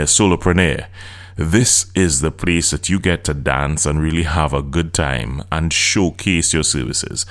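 A middle-aged person with a Trinidadian accent, hosting a solo podcast and coaching listeners in an earnest, direct way. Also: male voice, a bass voice. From Trinidad and Tobago.

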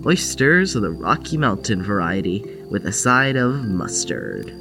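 A man playing a guarded, anxious young patient in an audio drama, with a halting, reserved manner. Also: on the low side, sing-song, creaky, heavily acted. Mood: wry, reflective. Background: music.

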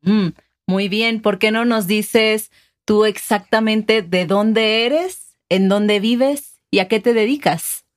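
Una co-presentadora mexicana de podcast, con acento mexicano, que guía la conversación de manera amable y cercana. Habla con tono natural, de charla entre amigos. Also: female voice, medium pitch, takes it slowly. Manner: friendly, guiding the interview with questions. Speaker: podcast co-host. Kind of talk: casual chat.

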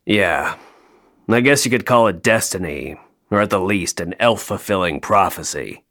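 An actor playing a jaded, sardonic male elf toymaker in an audio play, deadpan, dry and downbeat. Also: sits low, normal speed. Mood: wry, resigned.

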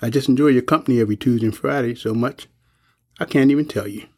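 Give the part of a frail old soldier: a husky voice with a gentle, folksy manner, fond and watchful. It is audio drama acting. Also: male voice, medium pitch, fast. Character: frail, kindly old male soldier, lonely and observant.

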